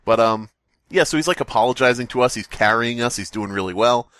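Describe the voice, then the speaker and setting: A man co-hosting a podcast, telling a story to friends in a casual, animated, sweary way. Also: mid-range voice, fairly quick, no room sound. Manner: animated, profane, does voices in anecdotes. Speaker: podcast host.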